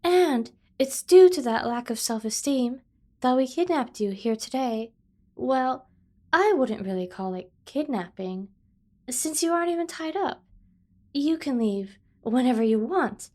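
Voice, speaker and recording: Casting: a woman to play a playful yandere kidnapper for ASMR roleplay, higher-voiced, unhurried, doting and teasing. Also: animated, dark tone. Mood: coaxing. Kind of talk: scripted ASMR roleplay acting.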